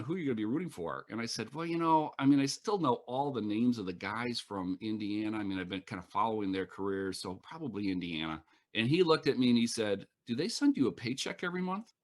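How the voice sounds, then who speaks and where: A middle-aged man, a professor, answering interview questions in a measured, matter-of-fact storytelling way. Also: lowish voice. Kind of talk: reflective storytelling.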